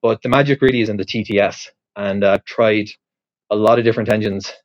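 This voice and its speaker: Irish man, low voice, thinking aloud. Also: fairly even intonation, a little fast, no echo, lo-fi sound. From Ireland. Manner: rambling, thinking aloud with many fillers and restarts. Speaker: podcast host posing a question. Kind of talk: casual spoken monologue.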